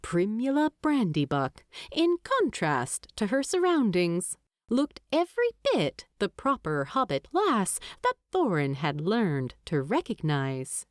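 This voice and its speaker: A woman reading scripted story narration as an audiobook narrator, expressive and deliberate. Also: medium pitch, no room sound, studio quality.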